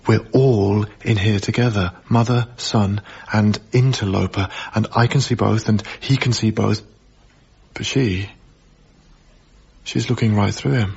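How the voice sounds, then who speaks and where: An actor playing a gentle, hesitant, earnest young male lodger in a naturalistic radio drama. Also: lowish voice, vocal fry, slightly rough, lo-fi sound, exaggerated voice. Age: young adult.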